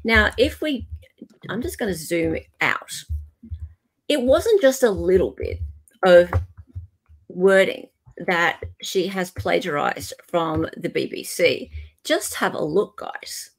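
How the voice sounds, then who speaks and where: A woman's voice: fairly low pitch, expressive, slow pace, slightly rough, poor audio. A woman with an Australian accent hosting a live show in a casual, chatty and playful way. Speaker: host of a live commentary show. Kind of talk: casual live chat commentary.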